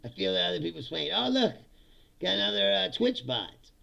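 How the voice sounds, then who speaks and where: A man's voice: high-pitched, big pitch swings, fairly quick, creaky, sharp tone, muffled recording. A middle-aged man from Indiana chatting casually on a livestream, laughing often and slipping into mock voices.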